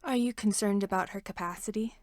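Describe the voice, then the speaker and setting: A woman voicing a reserved alien man in a fanfiction audiobook, with formal, measured speech and no contractions. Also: mid-range voice, dry recording, studio quality, lightly acted. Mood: measured, composed.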